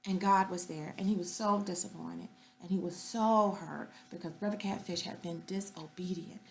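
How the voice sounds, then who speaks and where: A woman telling a folktale aloud in an expressive style, dropping to whispers for effect. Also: fairly low pitch, normal speed, vocal fry, slight background noise, rough recording. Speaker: storyteller.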